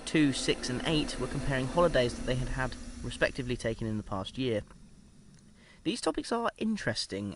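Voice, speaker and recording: A man acts out a research doctor dictating an audio-drama log of an unsettling experiment. His mid-pitched voice reports clinically, with conversational hesitations. There is audible noise and ambience behind him. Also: natural voice. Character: male research doctor calmly logging a sinister experiment. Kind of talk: audio drama diary monologue. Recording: clear background noise and ambience.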